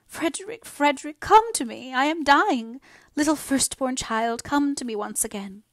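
A woman's voice: a little high, animated, normal speed, no room sound, pitched above the performer's own voice. A woman voicing a frail, ailing mother in an audiobook, plaintive, anxious and easily displeased. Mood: hysterical grief. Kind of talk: dramatised audiobook dialogue.

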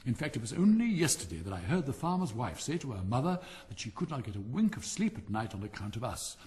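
A man's voice: mid-range voice, big pitch swings, quick pace, a little mellow, lightly acted. A man reading aloud and voicing a boastful, endlessly chatty mother frog who gushes and laughs as she talks. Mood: gushing. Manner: gushing, chattering, laughing between phrases.

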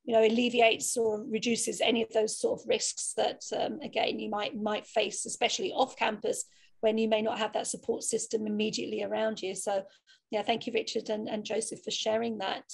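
A woman's voice: on the high side, level tone, brisk, creaky, breathy edge. A woman moderating a student panel discussion in an online webinar.

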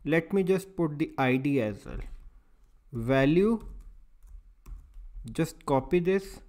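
Male screencast tutor, slightly high voice, very unhurried, halting delivery that walks through each step in small pieces. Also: expressive, a little mellow. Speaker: software tutorial instructor. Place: voice-over for a screen recording.

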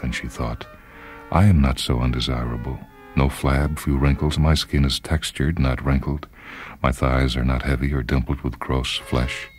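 A man narrating a literary story in a measured, theatrical manner. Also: extremely low pitch, darkish tone. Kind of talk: dramatic literary story reading.

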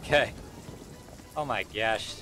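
A man's voice: on the low side, takes it slowly, noisy background. A young man chatting casually as he streams a video game. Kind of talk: casual gameplay chat. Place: small room at home, indoors. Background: game storm and sea sounds.

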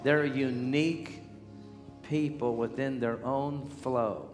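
An older man, a healing evangelist, praying and preaching with fervor on a large church stage, at times breaking into shouts and song. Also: mid-range voice, fairly even intonation, slow. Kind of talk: revival preaching and prayer. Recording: long hall reverb with music behind.

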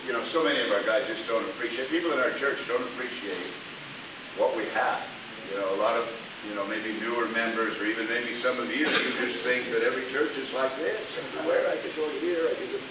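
Pastor in an echoey room, far off. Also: male voice, mid-range voice, a little fast, background noise. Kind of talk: men's meeting discussion. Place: church meeting room. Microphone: far camera.

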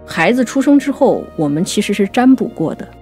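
A woman co-hosting a conversational talk on classical poetry, explaining things and reciting verse slowly. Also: mid-range voice, sing-song, darkish tone, soft background music. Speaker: writer and co-host.

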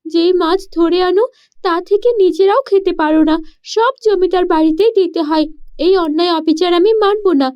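A woman's voice: very high voice, moderate pace, dull audio, pitched above the performer's own voice. A woman voicing a poor, spirited village girl in a cartoon story, earnest and devoted to her family. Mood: indignant. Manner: earnest, protesting, questioning.

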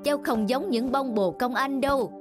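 An actor plays an old male windmill in a cartoon. He is weary and fatherly, drawing his words out slowly. Music plays clearly behind him. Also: high voice, lightly acted. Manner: slow, drawn-out. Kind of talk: cartoon acting.

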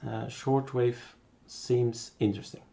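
A man with a Belgian accent giving a casual, unscripted software tutorial, thinking aloud as he works. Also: mid-range voice, fairly even intonation, measured pace, creaky.

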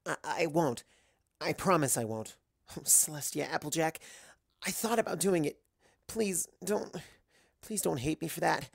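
A man's voice: a little high, measured pace, gravelly, long pauses, breathy edge, dry recording, clean studio sound, pitched above the performer's own voice, full character voice. A man voicing an earnest, troubled young female unicorn pony who speaks hesitantly and stammers. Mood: tearful, remorseful.

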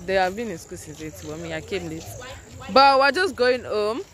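A woman's voice: mid-range voice, expressive, moderate pace, a bit bright. A woman in her early twenties with a Nigerian accent, chatting casually and repetitively to her vlog, slipping into some Pidgin. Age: early 20s. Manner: informal, repeats herself, some Pidgin. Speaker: vlogger, student. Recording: phone recording with background noise and chatter.

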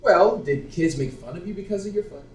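A man's voice: high-pitched, a little fast, a little hiss or hum, natural voice. A young man on a small theater stage playing a shallow, smitten young man full of doubts, talking in an eager, earnest way. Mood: probing. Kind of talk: live stage acting. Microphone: far room mic. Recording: roomy theater sound from far off.

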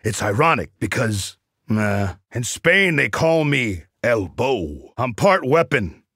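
An actor playing a cocky, wry assassin mercenary in a video game, delivering short quips in a dry, sardonic, boastful way. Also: male voice, mid-range voice, very animated, slow, fairly bright tone, slightly rough, dry recording, full character voice. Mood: boastful, playful.

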